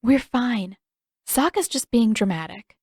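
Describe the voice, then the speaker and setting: A woman's voice: on the high side, expressive, measured pace, darkish tone, no echo, clean studio sound, voice pushed up. A woman voicing a firm, reassuring teenage girl in a fan fiction audiobook reading.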